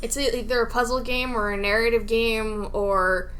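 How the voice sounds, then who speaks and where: A woman co-hosting a podcast, chatting casually about video games in an animated, incredulous, giggly manner. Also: a little high, normal speed. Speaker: podcast co-host.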